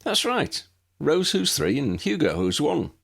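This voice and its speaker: A man voicing an impulsive, jokey, excitable young man in an audiobook. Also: fairly high pitch, unhurried, creaky, voice pushed up, exaggerated voice. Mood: playful.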